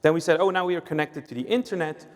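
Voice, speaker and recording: A man's voice: a little high, very fast, a little mellow. A man from Switzerland with a Swiss accent, a sales executive explaining things on a conference panel, with frequent "uh" and "right?". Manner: explanatory, uses filler "uh" and "right?". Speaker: sales executive, guest speaker. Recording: long reverb of a hall.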